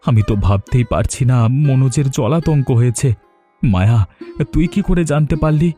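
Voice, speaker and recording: Man as a mellow, worried village father. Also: medium pitch, lively intonation, moderate pace, faint music behind, natural voice. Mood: shocked, sorrowful. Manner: gentle, anxious, earnest. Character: caring, worried poor village father and husband. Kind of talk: Bangla moral-story cartoon dubbing.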